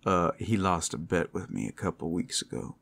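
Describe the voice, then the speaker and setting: A man playing a tender, protective werewolf lover in an intimate ASMR roleplay, speaking in halting, heartfelt phrases full of endearments. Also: low voice, animated, vocal fry, full character voice. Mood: playful. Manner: soft endearments, halting heartfelt delivery, teasing asides. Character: tender, devoted, protective male werewolf lover working at a brothel.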